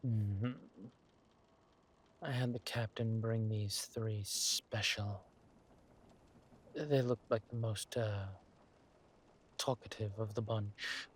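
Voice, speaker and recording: A man voicing a suave, menacing villain king who is doting with his lover and talks in a smooth, teasing, courteous drawl for a roleplay audio drama. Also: fairly low pitch, steady tone, slow pace, long pauses. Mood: silky menace.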